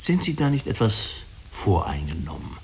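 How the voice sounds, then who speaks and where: Diplomatic male official, lowish voice, formal, persuasive, deliberate, radio drama, noticeable tape hiss. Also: very animated, a little mellow. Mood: probing, smooth. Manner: formal, explanatory, deliberate, with hesitant pauses. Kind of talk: German radio drama dialogue. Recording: clearly hissy radio recording.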